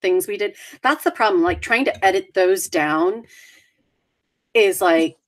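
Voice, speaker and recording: A woman's voice: mid-range voice, animated, a little slow. A woman co-hosting a casual chat in a playful way, joking and teasing. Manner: playful, slips into mock accents. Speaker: co-host.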